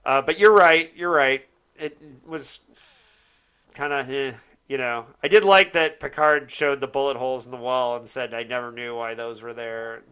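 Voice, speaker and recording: Bright, clear-voiced man chatting casually. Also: medium pitch, moderate pace. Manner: rambling, self-correcting, searching for words. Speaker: podcast host. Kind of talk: casual chat, TV show discussion.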